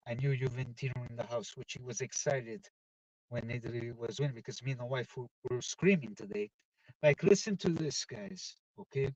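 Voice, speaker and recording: A man hosting a casual live football chat from inside a car, talking loudly and excitedly and dropping Italian football phrases into his talk. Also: medium pitch, normal speed, dry recording. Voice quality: loud voice. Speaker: live-stream football show host. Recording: dull, distant, dry car recording.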